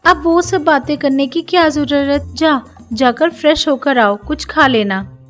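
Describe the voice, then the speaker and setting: A quarrelsome young daughter-in-law, acted by a woman narrator, high-voiced and sarcastic. She scolds and complains her way through a family storybook tale, over faint music. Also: unhurried. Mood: sulky.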